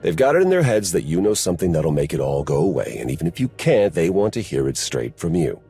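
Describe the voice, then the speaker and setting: An actor playing a wry, irreverent aide to a Sith lord in a video game, speaking in a dry, sardonic way. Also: male voice, deep voice, very animated, quick pace, warm tone, husky, soft background music, heavily acted. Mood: matter-of-fact. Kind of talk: video game cutscene acting.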